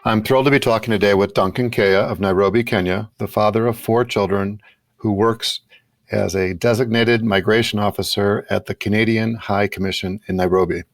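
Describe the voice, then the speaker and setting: A man's voice: lowish voice, steady tone, moderate pace, no room sound, faint music behind. A man hosting a podcast, speaking in a conversational interview style.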